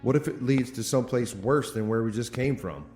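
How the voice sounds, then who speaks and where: A man's voice: mid-range voice, a little fast, warm tone, lightly acted. Anxious, skeptical man in echoey space actor. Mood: skeptical, probing. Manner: skeptical, pressing, easily rattled. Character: anxious, questioning townsman prone to panic. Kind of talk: audio drama acting. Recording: echoey tunnel-like reverb with music.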